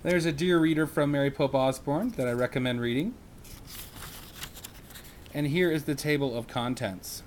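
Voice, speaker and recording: A man who teaches reads a children's chapter book aloud in a slow, expressive way, with small slips. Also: medium pitch, slight background noise.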